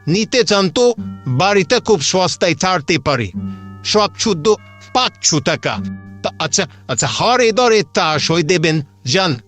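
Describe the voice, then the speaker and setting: A man's voice: high-pitched, very animated, unhurried, fairly bright tone, pitched above the performer's own voice, heavily acted. A man voicing a chatty, pushy middle-aged house seller who grumbles and wheedles in colloquial patter in a dramatized horror story. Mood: wheedling. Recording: music behind the voice.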